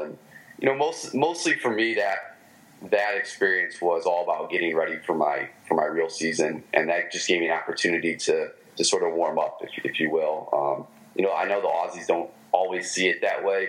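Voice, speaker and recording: Low voiced michigan guy, ex ballplayer, rambling with lots of ums and restarts. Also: fairly bright tone, rough recording. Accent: Michigan American. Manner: halting, many fillers and restarts. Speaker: guest, former pro baseball player. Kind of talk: casual interview storytelling.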